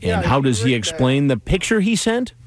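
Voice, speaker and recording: A man's voice: mid-range voice, huge pitch swings, moderate pace, a crowd in the background. Man narrating a crime documentary.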